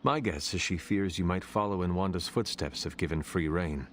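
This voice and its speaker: Calm, lowish-voiced male hunter played by an actor. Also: a little hiss or hum, natural voice. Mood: measured, reassuring. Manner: measured, earnest, slightly formal. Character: calm, resolute male demon hunter, measured and protective. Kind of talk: dramatic video game acting.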